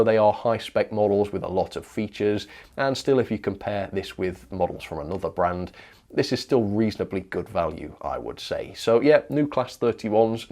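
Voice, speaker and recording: Low-pitched man presenting hobby news. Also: a little mellow. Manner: enthusiastic, chatty, opinionated. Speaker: presenter of a model railway news show. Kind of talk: informal product news review to camera or as voice-over.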